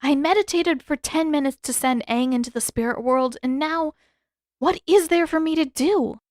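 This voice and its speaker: Mopey teenage girl, teasing and grumbling, played by a woman; raised pitch, dry audiobook read. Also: moderate pace, darkish tone, dry recording, natural voice. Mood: frustrated. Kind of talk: audiobook character acting.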